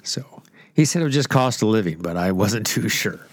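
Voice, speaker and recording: A man's voice: on the low side, animated, normal speed, no echo, clean studio sound. A congested, stuffy-nosed man hosting a radio show as a pastor, rambling and joking at his own expense. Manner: rambling, joking, self-deprecating. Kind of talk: casual off-the-cuff radio chat.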